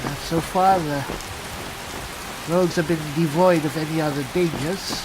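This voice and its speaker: A man doing in-character roleplay commentary on a game, musing haltingly as if talking to himself. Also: on the high side, fairly even intonation, background noise. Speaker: gameplay host, player in character. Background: rain and thunder from the game.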